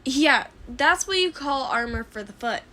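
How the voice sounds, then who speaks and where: A woman's voice: high voice, lively intonation, measured pace, sharp tone, full character voice. A woman voicing an enthusiastic, friendly young inventor girl who explains eagerly, in a fan fiction audiobook. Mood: upbeat, hurried.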